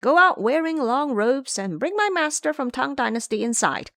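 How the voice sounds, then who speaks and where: A woman's voice: high voice, animated, moderate pace, a bit bright, no echo, clean studio sound, voice pushed up. A woman voicing a menacing, cocky male monkey disciple in an audio drama, brash and commanding.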